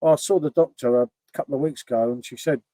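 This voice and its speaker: A man's voice: medium pitch, fast, warm tone, dry recording. A man in his fifties chatting informally and telling anecdotes as a guest on a video call. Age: 50s. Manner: informal, matey. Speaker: guest on a chat show. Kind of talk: casual chat, anecdotes. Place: living room, indoors. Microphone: video call, sitting back from the camera.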